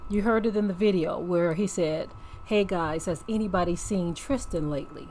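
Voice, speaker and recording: A woman's voice: fairly low pitch, normal speed, darkish tone, slight background noise, low-quality recording. A woman giving earnest, preachy off-the-cuff commentary as host of a religious channel, repeating phrases for emphasis and checking for agreement.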